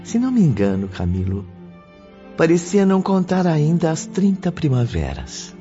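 An actor playing a solemn narrator of a radio drama, telling the story in a formal, deliberate manner. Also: male voice, on the high side, wildly swooping pitch, dark tone. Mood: solemn, expressive. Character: solemn male storyteller addressing the listener. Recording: dull lo-fi audio. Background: background music.

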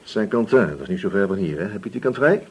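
An actor playing a male police inspector in a radio drama, questioning patiently but insistently with a slightly husky voice and deliberate pauses. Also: fairly low pitch, animated. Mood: pressing. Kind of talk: Dutch radio drama interrogation acting.

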